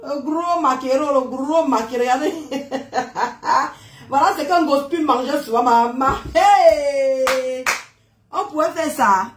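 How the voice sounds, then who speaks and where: Woman live-streaming, congested from a cold, high voice, chatty and teasing. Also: slow pace. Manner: chatty and teasing, exclaims and laughs often. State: stuffy with a head cold. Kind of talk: casual live-stream chat greeting viewers.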